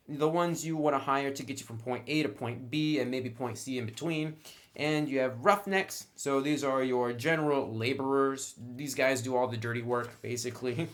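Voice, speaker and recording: Guy doing a game review, mid pitched voice, informal and kinda wry, part scripted part off the cuff. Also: a bit bright. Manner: informal, wry sarcastic asides. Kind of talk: semi-scripted review narration with casual asides.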